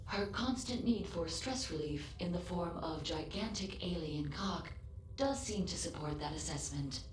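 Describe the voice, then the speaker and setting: A woman voicing a polite, literal-minded android ship assistant in a video game, formal and measured, with precise diction. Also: on the low side, lively intonation, reverberant, slight background noise. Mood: composed, analytical. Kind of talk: scripted video game voice acting.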